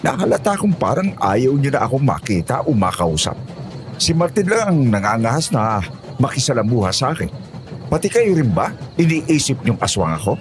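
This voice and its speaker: A man's voice: mid-range voice, sing-song, moderate pace, soft background music, lightly acted. A man with a Filipino accent playing an older, fatherly but touchy father-in-law in a horror drama. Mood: hurt, challenging.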